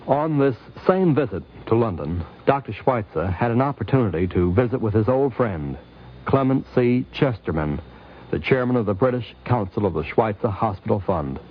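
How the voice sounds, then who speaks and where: A man's voice: on the low side, animated, breathy edge. Solemn male narrator on thin old radio. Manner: solemn, measured oratory. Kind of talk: 1950s radio scripted narration. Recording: thin, phone-like 1950s radio recording.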